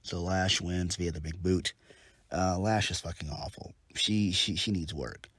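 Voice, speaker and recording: A man's voice: sits low, steady tone, a little slow, fairly bright tone, slightly rough, no room sound. A man casually reviewing a wrestling show, congested from a head cold, rambling with lots of ums and the odd swear. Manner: laid-back, rambling, frequent ums and uhs, swears casually.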